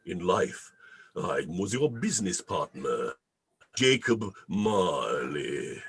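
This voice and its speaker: A man voicing the solemn, doleful ghost of a dead businessman in a radio play, with drawn-out, ominous replies. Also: sits low, sing-song, slightly rough, voice pushed down, full character voice.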